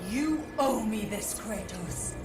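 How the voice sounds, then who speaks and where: An actress playing a commanding, manipulative ghostly Greek goddess in a video game, speaking in a solemn, imperious manner. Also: female voice, medium pitch, expressive. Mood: demanding. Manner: stately, measured, imperious. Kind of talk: dramatic video game cutscene acting. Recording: echoey, distant, under very loud music.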